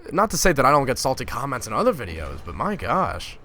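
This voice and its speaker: A man's voice: mid-range voice, huge pitch swings, fast talker, sharp tone. A young man from Finland, a gaming YouTuber, ranting in a casual, exasperated and sarcastic vlog.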